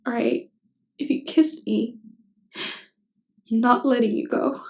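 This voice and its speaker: A woman acts out a tender female best friend who is hopelessly in love. Her voice sits on the high side and moves very slowly, with halting, earnest phrasing. The delivery is intimate ASMR roleplay, emotionally open and vulnerable. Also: fairly even intonation, darkish tone. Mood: tender, hushed. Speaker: voice actress.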